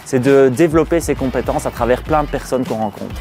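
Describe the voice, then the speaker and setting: A man's voice: medium pitch, fairly quick, a little mellow, faint music behind. A man, a hospitality ambassador speaking reflectively with some fillers. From Belgium. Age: 20s. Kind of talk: promotional testimonial.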